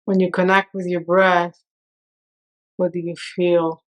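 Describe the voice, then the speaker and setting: Slow, even-toned woman guiding meditation. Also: lowish voice. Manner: calm, soothing, with long pauses. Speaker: meditation guide. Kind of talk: guided meditation.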